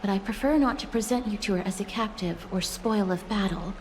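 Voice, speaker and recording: A woman playing a gentle, polite and dutiful young warrior in a video game, speaking formally and earnestly. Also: mid-range voice, fairly quick, close to an everyday voice. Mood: earnest, measured. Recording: game music behind the voice.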